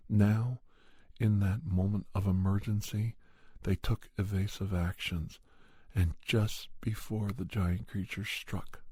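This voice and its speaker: Deep, gravelly, breathy man telling horror stories. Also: mellow, dry recording. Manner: dramatic, deliberate, with short broken phrases and pauses. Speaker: storyteller and podcast host. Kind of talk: scripted horror story narration in ASMR style.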